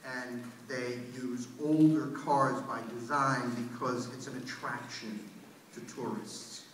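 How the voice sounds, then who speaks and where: A man's voice: medium pitch, flat delivery, takes it slowly, fairly bright tone. A man, an official on a panel, explaining things at a public meeting. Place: large indoor public meeting room. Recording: echoey hall PA, distant mic, faint noise.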